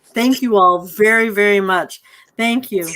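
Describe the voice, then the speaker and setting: A woman with a mid-pitched voice hosts an informal online gathering. She is effusive and thankful, speaking to her guests over a video call. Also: a little slow, crowd noise. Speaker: host and director.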